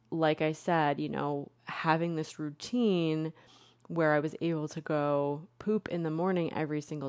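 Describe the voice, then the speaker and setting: A woman's voice: sits low, a little mellow, no echo, studio quality. A young woman hosting her own podcast, talking casually and a bit self-deprecatingly, with lots of fillers and restarts. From Connecticut. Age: young adult. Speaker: podcast host, therapist. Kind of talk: casual solo podcast talk.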